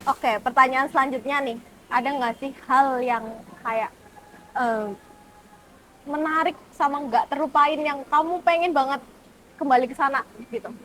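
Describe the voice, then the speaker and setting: Woman, high pitch, talk show host, informal short questions, faint ambient noise. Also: fairly even intonation, measured pace, pauses often, a bit bright, rough recording. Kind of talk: casual interviewing.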